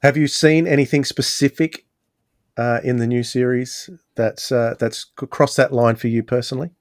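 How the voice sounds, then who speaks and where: A man hosting a conversational discussion, putting questions to the panel in measured short phrases. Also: mid-range voice, steady tone, dry recording, clean studio sound. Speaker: podcast host.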